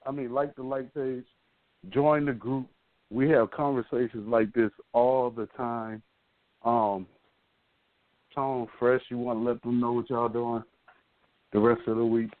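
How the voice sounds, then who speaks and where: A man's voice: medium pitch, flat delivery, unhurried, pauses often, no echo. A man hosting a casual call-in radio talk show over the phone, speaking in an informal, slangy way. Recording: dry, narrow phone line.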